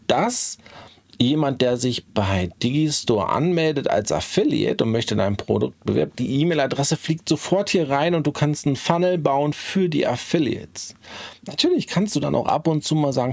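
A hoarse man in his forties giving a chatty screen-share tutorial from a small home studio, tagging sentences with "ne?". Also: mid-range voice, a little mellow, no echo. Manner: chatty, frequent "ähm" and "ne?" tags. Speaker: online marketing YouTuber.

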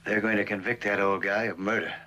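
A man's voice: lowish voice, fairly even intonation, fast, bright, forceful tone. An actor playing a weary, guilt-ridden middle-aged man, subdued and terse, in a television drama. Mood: troubled, resigned. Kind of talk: 1960s television drama acting.

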